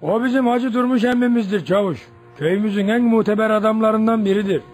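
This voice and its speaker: A man's voice: high-pitched, fast talker, a little mellow, soft background music, natural voice. A man playing a sociable, inquisitive village headman in a radio drama, full of folksy interjections. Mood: doubtful.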